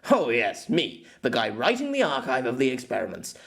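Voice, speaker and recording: A weary male writer with a secret, played by a male narrator in an amateur audiobook. He speaks at a middling pitch with animated swings, dry, hesitant and exasperated. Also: normal speed, gravelly, heavily acted. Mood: sarcastic. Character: weary, sarcastic male writer in hiding from another world.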